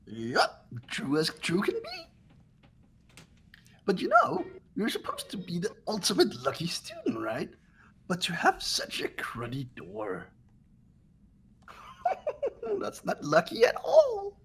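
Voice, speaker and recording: A performer voicing a mocking, gleeful robot bear mascot with a raspy, creaky voice and sing-song taunts. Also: huge pitch swings, takes it slowly, pauses often, full character voice. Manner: sing-song taunting with wild pitch swings. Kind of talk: fan voice acting of a video game script.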